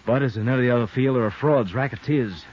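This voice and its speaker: A man's voice: medium pitch, fast, a little mellow, close to an everyday voice. An actor playing an intense, suspicious, protective man, earnest and insistent, in a 1950s radio drama. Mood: uneasy, earnest. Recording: dull, noisy 1950s radio recording.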